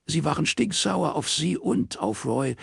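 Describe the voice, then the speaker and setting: A man reading an audiobook, voicing a nervous, defensive middle-aged woman with a halting, stammering delivery. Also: on the high side, very animated, vocal fry, husky, no room sound, clean studio sound, voice pushed up, heavily acted. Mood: wistful, nervous.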